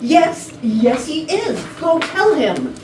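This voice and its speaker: A woman acts out a dutiful, wary assistant in a staged comedy reading. Her voice is a bit high and lively, picked up from far off in a noisy, echoey room. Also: slow, exaggerated voice. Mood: urgent, exasperated. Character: dutiful, wary female assistant to a company boss. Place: rehearsal room.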